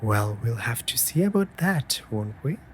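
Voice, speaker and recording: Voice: medium pitch, big pitch swings, measured pace, slight background noise. A performer playing a playful, affectionate raven familiar in an intimate comfort audio roleplay, with teasing, mock-offended delivery. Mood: drowsy, teasing. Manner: teasing, mock-offended, breaking into soft murmurs.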